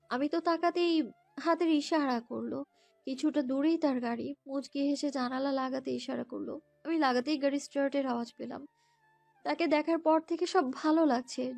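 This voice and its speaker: Very high, girlish woman storyteller. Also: dry recording. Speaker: narrator and lead voice actor. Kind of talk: dramatised first-person romantic story narration. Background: background music.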